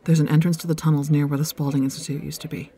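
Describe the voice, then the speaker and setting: Woman playing a husky, defiant deputy. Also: sits low, level tone, very fast, warm tone. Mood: urgent. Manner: blunt, accusing. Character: defiant, grieving female deputy. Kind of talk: audio drama acting.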